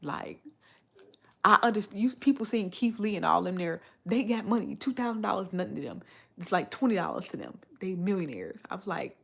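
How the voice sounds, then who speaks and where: A woman's voice: medium pitch, sing-song, brisk, gravelly, breathy edge. An American woman in her thirties chatting casually on a livestream, slangy and emphatic, repeating phrases to make her point. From Chicago, United States. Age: 30s. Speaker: live streamer. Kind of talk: casual livestream chat, animated storytelling.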